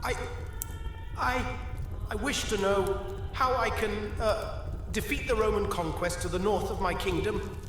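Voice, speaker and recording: An anxious, desperate king in a fantasy audio drama, acted by a man. His voice is extremely high and stammering, heard from far off in a very echoey hall. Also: fairly even intonation, measured pace, long pauses. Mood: nervous. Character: anxious, pleading king seeking a prophecy. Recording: very echoey hall, distant, faint noise.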